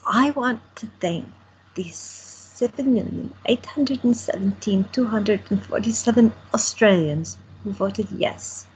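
A woman's voice: mid-range voice, measured pace, a little mellow, a little hiss or hum, close to an everyday voice, poor audio. A woman playing an elderly woman who addresses the press and then proposes to her wife. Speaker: cast member in an audio drama. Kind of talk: amateur audio drama acting.

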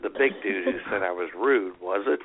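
A man's voice: low-pitched, normal speed. A man hosting a radio show with casual, teasing comedic banter. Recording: lo-fi radio sound, faint noise.

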